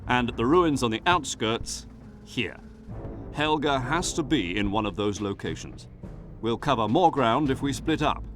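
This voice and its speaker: Man playing a brisk wartime secret agent. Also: medium pitch, lively intonation, music in the background, lightly acted. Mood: brisk, businesslike. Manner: brisk, authoritative briefing. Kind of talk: dramatic game cutscene dialogue.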